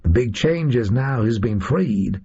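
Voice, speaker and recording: A male reader voicing a disillusioned, boastful gunman in an audio story, with a high, reedy voice and folksy, slangy delivery. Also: lively intonation, measured pace, mellow, slightly rough, highs cut off, full character voice. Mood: brisk, boastful.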